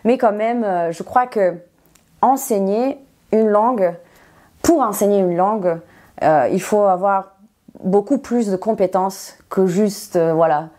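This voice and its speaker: Mellow-voiced teacher, woman. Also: mid-range voice, unhurried. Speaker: non-native French teacher, interview guest. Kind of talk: conversational explanation in an interview.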